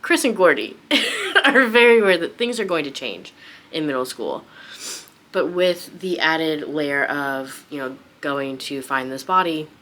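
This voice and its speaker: Low-pitched young woman chatting casually. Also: sing-song, a little slow, a bit bright. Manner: rambling, informal, frequent "like". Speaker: book vlogger. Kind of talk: casual unscripted chat.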